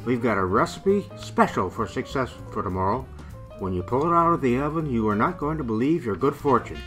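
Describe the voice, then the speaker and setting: A man playing a genial, proud grandfather and company salesman in a radio play, with a folksy pitchman's manner. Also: lively intonation, a little fast, fairly bright tone, natural voice. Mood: proud, selling. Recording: music behind the voice.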